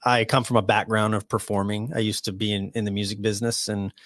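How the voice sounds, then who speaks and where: A man in his early forties talking casually as a podcast guest, relaxed, often saying "man" and "dude". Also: on the low side, quick pace, no room sound, clean studio sound. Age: 40s. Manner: laid-back, says "man" and "dude" a lot. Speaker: podcast guest, awards show founder.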